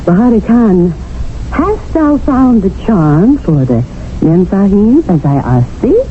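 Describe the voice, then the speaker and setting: A woman's voice: mid-range voice, slow. An actress playing a playful, worldly Englishwoman in colonial India who teases lightly. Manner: teasing and light, with lively swings of tone. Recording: tinny 1970s radio broadcast with faint noise.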